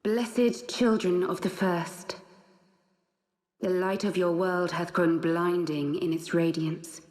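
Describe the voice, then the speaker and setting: A solemn young woman, a divine emissary from a fantasy game. She speaks slowly and gently in stately, archaic phrases, her fairly low voice ringing in a long cavernous reverb. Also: steady tone. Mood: solemn, compassionate. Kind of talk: dramatic video game cutscene acting.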